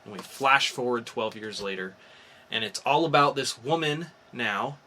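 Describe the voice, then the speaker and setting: Male podcast co-host, bright mid-pitched voice, casual and enthusiastic review talk, slight noise behind. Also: animated, moderate pace. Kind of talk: casual comic book review chat. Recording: faint background noise.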